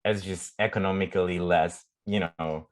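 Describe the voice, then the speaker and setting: Low-voiced Romanian man, halting speech. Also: fairly even intonation. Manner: halting, searching for words, frequent restarts. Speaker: startup CEO guest. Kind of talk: casual conversational interview.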